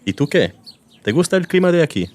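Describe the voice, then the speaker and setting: A man with a London accent, hosting a Spanish lesson and speaking clearly, at a teaching pace. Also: a little high, sing-song, a little mellow. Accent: London English. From England. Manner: friendly, clear, teaching pace with repeat-after-me pauses. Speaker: language course host. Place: outdoors in a public garden.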